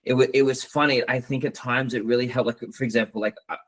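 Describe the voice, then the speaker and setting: A man from Australia, co-hosting a podcast and talking in a rambling, halting way. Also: medium pitch, fairly even intonation. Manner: rambling, halting, says his voice is loud. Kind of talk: casual podcast chat.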